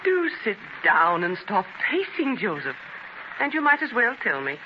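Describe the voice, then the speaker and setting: An actress playing a shrewd, affectionate, teasing wife who gently chides her husband in a 1930s radio drama. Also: mid-range voice, a little slow. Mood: chiding. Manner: expressive, gently chiding. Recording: noisy, dull 1930s radio transcription.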